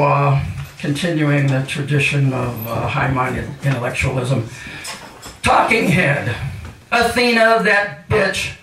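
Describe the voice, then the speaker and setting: An older man reading poetry live and chatting between pieces with wry, mock-highbrow humour. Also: fairly bright tone. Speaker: featured poet at a reading. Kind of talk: live poetry reading with casual rambling introductions. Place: indoor cafe poetry reading. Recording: echoey room, distant mic, hissy noise.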